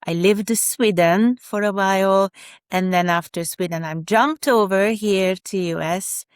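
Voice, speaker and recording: Slow, smooth-voiced Hungarian-accented woman. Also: medium pitch, no room sound, studio quality. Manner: hesitant, many fillers and restarts. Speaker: guest, special educator. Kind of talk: podcast interview.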